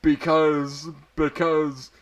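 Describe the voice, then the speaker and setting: A man acts out a satanist schoolboy from a comic fan story. He speaks defiantly and emphatically, with dramatic pauses and a slightly raised pitch. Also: level tone, lo-fi sound.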